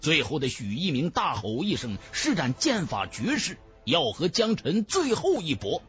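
Highly animated, high-pitched male audiobook narrator. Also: moderate pace, faint music behind. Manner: theatrical, emphatic delivery. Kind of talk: dramatic scripted narration of a fantasy novel.

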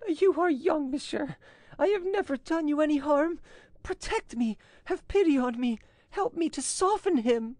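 High female character acted by a narrator, desperate, imploring, frightened, theatrical storybook drama, dry close sound. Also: animated, normal speed, a little mellow, no room sound. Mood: frightened pleading. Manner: imploring, breathless urgency, theatrical. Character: desperate pleading young Frenchwoman, frightened and tearful.

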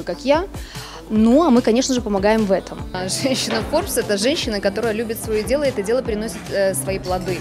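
A woman who is the deputy director of an aesthetic clinic, giving a confident interview answer with some hesitations. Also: medium pitch.

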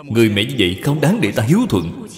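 A man's voice: on the low side, sing-song, quick pace. A man voicing a bitter, hard-working young husband who complains indignantly about his treatment. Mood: bitter indignation. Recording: long reverb, music behind.

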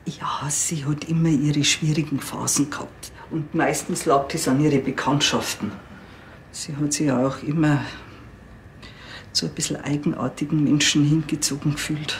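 Low-voiced, resigned middle-aged mother. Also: steady tone, moderate pace. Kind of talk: TV crime drama acting. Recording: faint background noise.

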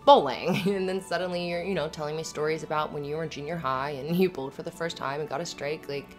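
A woman playing an affectionate, playful girlfriend in an intimate ASMR roleplay, soft-spoken and hesitant. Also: low-pitched, lightly acted.

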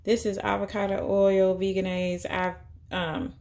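A woman's voice: medium pitch, little pitch movement, a little slow, creaky, a little mellow. A woman, chatting casually and upbeat as she walks through a cooking prep at home, thinking aloud as she goes. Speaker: vegan cooking vlogger. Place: home kitchen.

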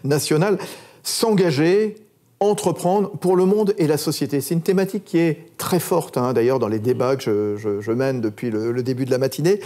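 Chatty man interviewing, mellow voice. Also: on the high side, moderate pace. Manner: chatty, many fillers and repeated words. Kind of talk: televised interview. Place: TV studio set.

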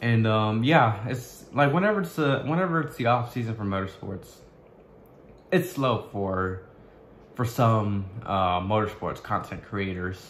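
Young man, casual vlog, halting restarts, webcam in small room, echoey, faint background hum. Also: on the low side. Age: young adult. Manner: halting, searching for words, many restarts. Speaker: YouTuber. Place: small bedroom indoors.

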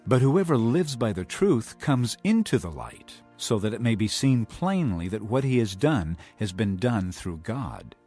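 Calm authoritative teacher, earnest, solemn, expressive melody, scripture drama, background music. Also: male voice, lowish voice, unhurried, hardly any pauses, slightly rough, full character voice. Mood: solemn teaching.

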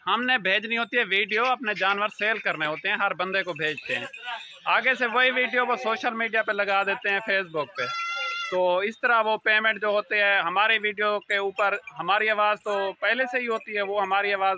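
A man's voice: sits high, noisy background. Earnest man filming a selfie warning. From Pakistan. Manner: earnest, repetitive, keeps addressing the viewer as "my brother". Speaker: goat farm owner and channel host. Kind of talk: selfie-video warning talk to viewers. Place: outdoor goat farm pen, among goats and trees. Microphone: phone front camera at arm's length.

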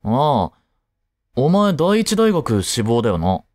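A man acts out an easygoing, caring teenage boy in a Japanese romantic audio drama. His casual, reassuring talk is slow and unhurried, with widely moving intonation. It sounds close and dry, as if spoken straight to his childhood friend. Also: mid-range voice, huge pitch swings, darkish tone, no room sound. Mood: concerned, supportive.

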